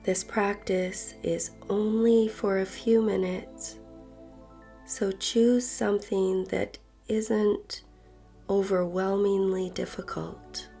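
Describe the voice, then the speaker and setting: A woman leading a guided meditation in a soft voice that turns breathy at phrase ends, gentle and unhurried with long pauses. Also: mid-range voice, little pitch movement. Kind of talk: guided meditation, slow and soothing narration. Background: soft background music.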